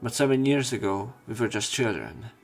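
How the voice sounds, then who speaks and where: A man reading visual novel lines aloud as let's play narration, halting at times and correcting himself. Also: medium pitch, expressive, creaky. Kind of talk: read-aloud narration and commentary of game dialogue. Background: game music.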